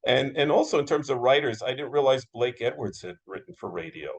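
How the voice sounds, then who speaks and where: A man's voice: medium pitch, lively intonation, fairly quick, breathy edge. An American man moderating an interview in a casual, agreeable, conversational style, often echoing and affirming.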